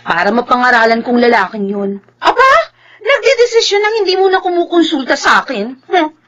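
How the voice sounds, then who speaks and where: A brash office worker in a radio drama. Her high, bright voice swoops animatedly as she nags and teases, with faint noise behind. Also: big pitch swings, brisk, lo-fi sound. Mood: teasing. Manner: nagging, playful. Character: brash, teasing, excitable female office worker.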